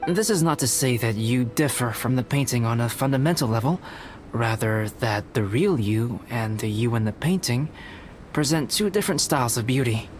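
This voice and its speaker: A calm young alchemist painter from a video game, voiced by a man speaks in a soft, measured, courteous way. His voice sits at an ordinary middle pitch. Clear game music plays under him. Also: lightly acted.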